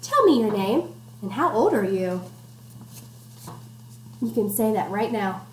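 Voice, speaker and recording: A woman's voice: medium pitch, sing-song, unhurried. A woman doing an interactive picture-book read-aloud for young children, playful and encouraging, putting simple questions to them. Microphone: computer microphone. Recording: roomy echo, noticeable background noise.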